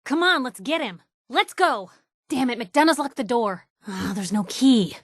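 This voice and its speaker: A woman's voice: fairly high pitch, animated, measured pace, no room sound, clean studio sound. An actress playing a sardonic, plucky young woman reporter in a video game, with wry, conversational quips. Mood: urgent, uneasy. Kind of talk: video game companion voice acting.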